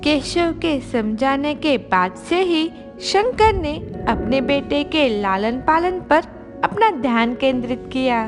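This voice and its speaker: Slow, high-voiced woman narrating. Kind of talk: scripted children's story narration. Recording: music behind.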